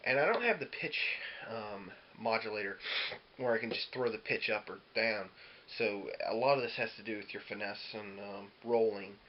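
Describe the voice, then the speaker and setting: Guy w a lowish voice doing a laid-out-loose music how-to, kinda hesitant, muffled lofi audio. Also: normal speed. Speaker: musician giving a tutorial. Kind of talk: casual instructional demo talk. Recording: dull lo-fi audio with clear hiss.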